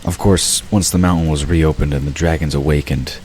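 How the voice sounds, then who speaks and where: A man narrating a fantasy audio drama in a steady, even storytelling manner. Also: very deep voice, fairly quick, slight background noise.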